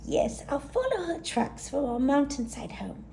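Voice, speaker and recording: An eager, anxious little yak girl from a storybook, played by a woman reader. The voice sits high and swoops expressively, earnest in every phrase. It has a soft edge rather than a bright one. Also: very animated, measured pace, vocal fry, a little hiss or hum, heavily acted. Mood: distressed, pleading.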